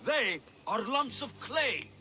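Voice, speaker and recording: A man's voice: very high-pitched, sing-song, slow, background noise, exaggerated voice. A man playing a blunt, skeptical mercenary with a protective streak in a video game, speaking firmly. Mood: stern, urging. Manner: blunt, firm. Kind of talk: video game cutscene acting.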